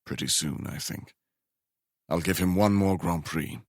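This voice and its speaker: A man voicing a grave, weary racing team manager who speaks low and quietly. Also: moderate pace, dry recording, clean studio sound.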